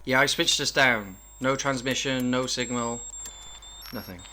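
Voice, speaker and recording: A man's voice: medium pitch, measured pace, pushed and bright, a little hiss or hum, poor audio. A man playing an uneasy, sceptical 1930s television sound engineer in an audio drama, questioning and technical-minded.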